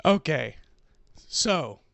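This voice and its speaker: A man co-hosting a podcast in casual chat, hoarse from losing his voice, talking in a relaxed, rambling way with fillers. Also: fairly high pitch, drawn-out words, noisy background. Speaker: podcast co-host.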